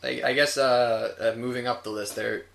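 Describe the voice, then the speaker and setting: A man's voice: mid-range voice, steady tone, moderate pace, vocal fry, fairly bright tone. A man hosting a casual top ten movie discussion, leading it in loose, profane language. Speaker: host of a movie review show. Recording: roomy echo, noticeable background noise.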